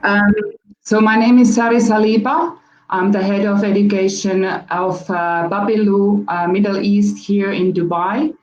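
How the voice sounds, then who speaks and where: A woman from Finland with a Finnish accent, giving a measured webinar talk as a guest speaker on early childhood education. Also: mid-range voice, steady tone, darkish tone, reverberant, muffled recording. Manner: measured, with fillers.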